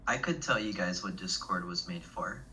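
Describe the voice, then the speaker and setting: A man's voice: low voice, normal speed, bright, forceful tone, slight background noise, low-quality recording. A man explaining something casually, with "um" and "you know" fillers.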